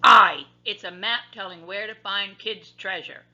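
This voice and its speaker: Roaring greedy pirate captain, a woman doing him for a storybook, slow, bright, lo-fi. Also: medium pitch. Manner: roaring, growling, drawn-out. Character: greedy loud grown male pirate captain. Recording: lo-fi recording.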